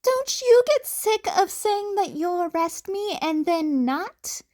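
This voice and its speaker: A woman's voice: very high voice, lively intonation, slow, a little mellow, voice pushed up, exaggerated voice. A woman voicing a defensive, snarky teenage boy vigilante who blurts and snaps back, in an audiobook story. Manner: hesitant stammering, blurting, snapping back. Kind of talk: audiobook character acting.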